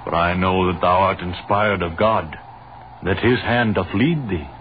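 Low-voiced, tired American soldier. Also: male voice, unhurried. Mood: weary, resolute. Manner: plain, unadorned, patient. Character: plain-spoken, tired American soldier, patient and resigned. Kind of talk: 1930s radio drama acting. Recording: muffled 1930s radio recording with hiss.

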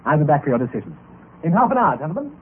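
Earnest young gentleman recruiter in a radio mystery. Courteous, intense, very expressive pitch, noisy old recording. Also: racing, phone-quality sound. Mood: urgent, solemn. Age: young adult. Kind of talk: old-time radio drama acting.

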